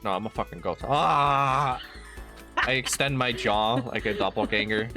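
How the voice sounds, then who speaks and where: A man's voice: medium pitch, a little slow, bright, forceful tone, soft background music. A congested man streaming a game, joking crudely, swearing a lot and reading game text aloud. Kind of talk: casual let's-play chat, reading game text aloud.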